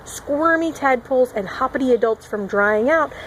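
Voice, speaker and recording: High-voiced woman reading to young children. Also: moderate pace, a little hiss or hum, rough recording. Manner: expressive, acting out animal sound words. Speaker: children's teacher reading aloud. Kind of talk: picture-book read-along with asides to young children.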